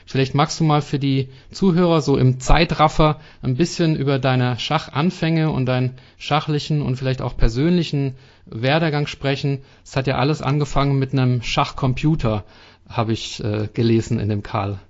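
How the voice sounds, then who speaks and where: A man hosting a podcast who puts long, measured questions to his guest. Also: medium pitch, steady tone. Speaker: podcast host, interviewer. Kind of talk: interview questions. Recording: dull lo-fi podcast audio.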